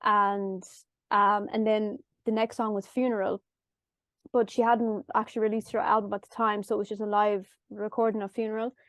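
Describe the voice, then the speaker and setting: A woman from Galway with an Irish accent and a nasal, high-pitched voice. She chats casually as a musician guest, telling stories and acting out her own lines. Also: flat delivery, moderate pace, fairly bright tone, no echo, clean studio sound. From Ireland. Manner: chatty storytelling, acts out her own past lines. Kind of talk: casual chat.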